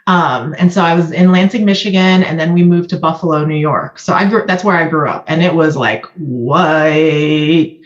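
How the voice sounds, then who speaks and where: A woman from Vietnam speaking as a guest, telling stories in a candid conversation with animated asides. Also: low voice, moderate pace, roomy sound, muffled recording.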